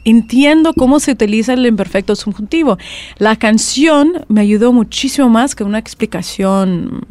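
A fairly high-voiced woman with an accent that mixes Spanish, Argentine and Mexican Spanish. She talks as a language teacher, telling stories in a chatty, animated way and often restarting her sentences. The recording is dry and close. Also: normal speed, no room sound. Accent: mixed Spanish, Argentine and Mexican Spanish accent. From California, USA. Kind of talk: conversational interview.

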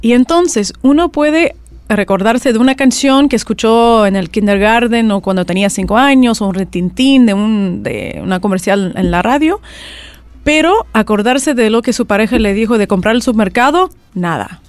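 A woman's voice: on the high side, moderate pace, no echo. A woman with a mixed Spanish, Argentine and Mexican accent, a language teacher chatting in an interview and telling stories with lots of restarts. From California, USA. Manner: chatty, animated storytelling with restarts. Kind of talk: conversational interview.

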